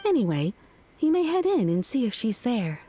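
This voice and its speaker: A woman voicing a polite, helpful young shrine attendant in a video game, speaking in a gently informative way. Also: on the high side, very animated, normal speed, a little hiss or hum.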